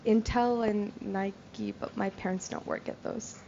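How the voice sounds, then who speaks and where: A woman's voice: mid-range voice, steady tone, creaky, dark tone. Hesitant young woman correcting herself. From India. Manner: hesitant, fillers and quick corrections. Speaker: youth retreat participant. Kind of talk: casual chat. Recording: dull lo-fi recording with faint noise.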